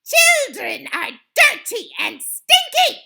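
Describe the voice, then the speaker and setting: A woman's voice: very high voice, very animated, slow, bright, forceful tone, voice pushed up, full character voice. A woman voicing a tyrannical, child-hating chief witch in a children's storybook, screeching and barking out imperious orders. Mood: disgusted fury. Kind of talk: theatrical children's audiobook villain.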